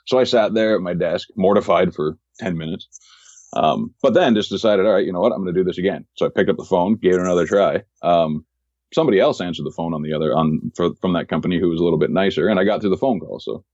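Deep-voiced man telling work stories. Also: darkish tone, dry recording, muffled recording. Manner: measured, repeats words while finding his phrasing. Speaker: podcast guest, real estate agent in Tokyo. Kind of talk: casual interview storytelling.

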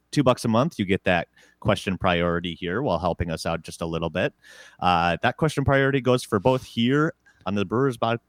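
A man with a very low voice hosts a casual sports podcast. He rambles easily and chuckles between thoughts, recorded close and dry. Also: no echo. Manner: relaxed, rambling, chuckles often. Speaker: podcast host.

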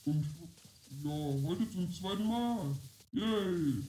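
A woman streamer chats casually over a game through a voice changer. She speaks slowly in a soft, dark tone, with echo around her and faint music behind. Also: fairly low pitch, lively intonation. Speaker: gaming streamer. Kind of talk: casual gameplay commentary. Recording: echoey room sound, faint music behind.

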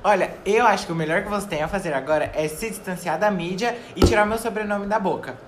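A woman's voice: mid-range voice, fast, over loud music, natural voice. A woman playing a bitter, accusing ex-husband in an amateur radio soap opera. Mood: cold, accusing. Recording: clearly noisy amateur recording.

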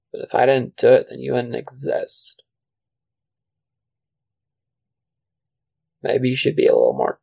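A man playing a disgruntled former fast-food worker, rambling with halting, deadpan earnestness in an audio drama monologue. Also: mid-range voice, vocal fry. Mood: resentful, weary. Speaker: narrator and character in a solo audio drama.

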